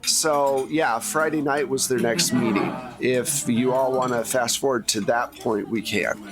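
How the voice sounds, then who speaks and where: A man's voice: medium pitch, steady tone, unhurried, music in the background. A man speaking informally and at some length as a game master, recapping the story for players in a conversational way.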